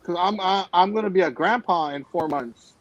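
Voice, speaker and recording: Animated man in his forties, lo-fi audio. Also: sits high, normal speed. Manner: animated, rhetorical questions. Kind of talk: casual group chat. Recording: dull lo-fi audio.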